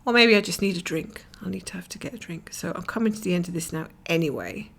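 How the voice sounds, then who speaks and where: Croaky woman from scotland, stuffy nose, rambly stitch chat, gravelly lowish voice, lots of half-whispered bits. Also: fast talker. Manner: rambling, chatty, often drops to a whisper. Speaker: cross-stitch vlogger. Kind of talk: casual stitch-along chat. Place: at home, camera over her stitching hoop.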